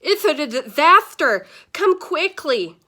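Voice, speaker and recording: A woman acts out an old grandfather from a children's storybook, distraught over his missing false teeth. He speaks loudly and slowly in a raised, animated voice, mumbling, indignant and suspicious. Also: high voice, voice pushed up, exaggerated voice. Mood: alarmed shouting. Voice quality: mumbling, toothless speech. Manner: loud, dramatic, suspicious.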